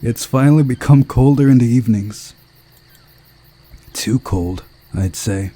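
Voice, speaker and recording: A man reading a visual novel aloud as a narrated story, giving the characters voices, in a relaxed, unhurried way. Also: mid-range voice, a little hiss or hum.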